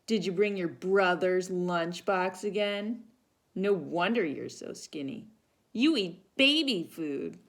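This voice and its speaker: A woman's voice: on the low side, expressive, takes it slowly, voice pushed up, heavily acted. A woman voicing a mean, scornful schoolgirl bully in a storybook, drawing out her taunts. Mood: mocking.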